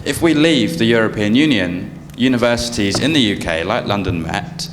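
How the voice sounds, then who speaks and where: Forceful man at a hustings. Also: lowish voice, animated, moderate pace, noisy background. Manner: forceful and rebuking. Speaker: parliamentary candidate. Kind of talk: debate answer at a hustings. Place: hall at a public hustings.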